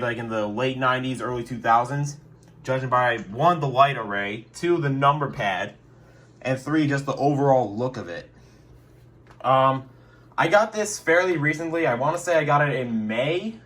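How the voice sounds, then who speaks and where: A man's voice: medium pitch, moderate pace, a bit bright. Rambling young man reviewing his gear. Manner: rambling, joking, many fillers. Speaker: weather radio collector. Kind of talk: casual review vlog. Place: his bedroom.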